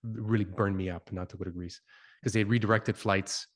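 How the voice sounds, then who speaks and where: A Canadian man in his forties, a guest on an interview, speaking in a somewhat low voice. He talks reflectively, full of ums, uhs and restarts. Italian was his first language. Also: steady tone, normal speed. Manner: hesitant, many fillers and restarts, reflective. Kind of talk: conversational interview.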